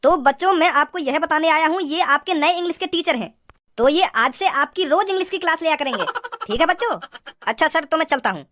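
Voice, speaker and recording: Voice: high-pitched, animated, fast talker, fairly bright tone. A performer voicing a strict male schoolteacher in a comic cartoon, with a stern and impatient manner. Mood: formal.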